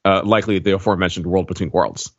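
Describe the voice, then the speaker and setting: A man's voice: low-pitched, fast, no echo, muffled recording. A man co-hosting a casual pop-culture discussion. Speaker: podcast co-host.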